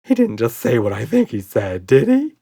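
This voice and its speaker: A man's voice: medium pitch, huge pitch swings, moderate pace, vocal fry, mellow, slightly rough, dry recording, clean studio sound, exaggerated voice. A man voicing a nervous, hysterical little male monster who whimpers and blubbers, in a children's storybook. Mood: shocked. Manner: blubbering, whiny.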